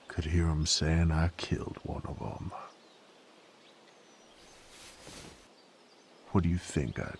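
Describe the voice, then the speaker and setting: A male actor playing a brooding, rough knight with a violent past in an audio roleplay, speaking wearily in folksy, rough diction. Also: a little hiss or hum, exaggerated voice. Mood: grim, intense. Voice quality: very low, gravelly. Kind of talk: dramatic character monologue, audio roleplay.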